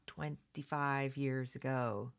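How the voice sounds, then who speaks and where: A woman's voice: a bass voice, fairly even intonation, takes it slowly. A woman hosting a read-along, mixing reading aloud with casual, opinionated commentary in an emphatic, conversational way that addresses listeners directly.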